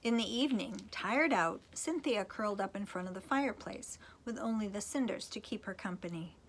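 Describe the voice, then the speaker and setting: A woman's voice: medium pitch, expressive, normal speed, a little hiss or hum. A woman reading a storybook expressively to children, with a playful manner. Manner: playful asides to young listeners.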